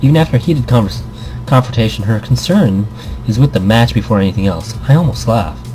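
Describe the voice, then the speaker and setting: A man's voice: medium pitch, expressive, brisk, mellow, low-quality recording. A man hosting a let's play, reading a visual novel aloud and commenting informally, stumbling here and there, with music behind. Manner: informal, self-deprecating, stumbles over reading. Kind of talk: story narration with casual commentary.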